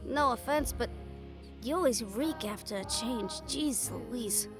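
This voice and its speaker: A woman's voice: a little high, slow pace. A woman playing a wry, affectionate, bossy girlfriend in an improvised, intimate audio roleplay, talking casually with slangy teasing and swearing. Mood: teasing, fond. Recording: music behind the voice.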